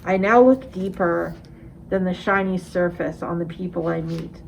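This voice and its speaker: A middle-aged woman reads a confessional poem aloud. Her voice is on the low side with plenty of creak, and faint room noise sits behind it. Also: normal speed, poor audio. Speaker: amateur poet.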